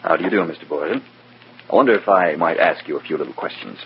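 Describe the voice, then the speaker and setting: A deep-voiced male investigator from a vintage radio thriller. He talks quickly, coolly and with polite pressure, on a dull, noisy recording. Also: animated, vocal fry, husky, full character voice. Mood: polite, probing. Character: cool, probing, authoritative government investigator. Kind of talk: old-time radio drama acting.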